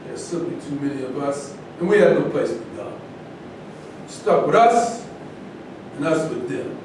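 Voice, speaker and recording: A man's voice: a little high, expressive, measured pace. A man acting an embittered militant, echoing and distant. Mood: scornful, emphatic. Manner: emphatic, lecturing. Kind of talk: staged play reading. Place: large indoor room at a live staged reading, distant mic. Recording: very echoey, noisy room from far off.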